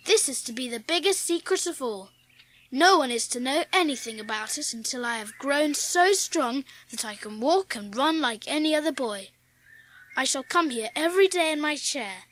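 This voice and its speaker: A woman's voice: high-pitched, a bit bright. A woman playing an imperious, determined young invalid boy in a dramatised audiobook, speaking in earnest declarations.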